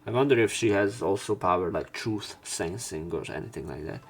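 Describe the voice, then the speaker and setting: A man's voice: deep voice, fairly quick, vocal fry, breathy edge. A man reading a visual novel's narration aloud as a let's player, stumbling now and then and repeating words. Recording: music playing behind the voice. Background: game music.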